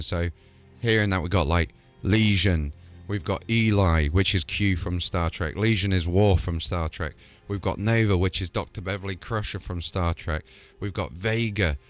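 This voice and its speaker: A man hosting a gaming video in casual, excited chat that rambles along with lots of fillers. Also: deep voice. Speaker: host.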